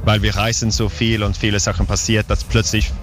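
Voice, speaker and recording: Swedish man with halting, joking German. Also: fairly low pitch, little pitch movement, music in the background. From Sweden. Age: 40s. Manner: halting non-native German, playful jokes. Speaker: touring band's singer, interview guest. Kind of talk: casual interview chat. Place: outdoor festival grounds.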